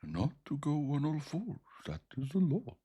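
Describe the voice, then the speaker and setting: A man voicing a hunched beast-man in a dark hut, with a thick voice and a whistling overtone, grunting and intoning in sing-song. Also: low voice, sing-song, normal speed, vocal fry, breathy edge, exaggerated voice. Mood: commanding. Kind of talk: audiobook reading.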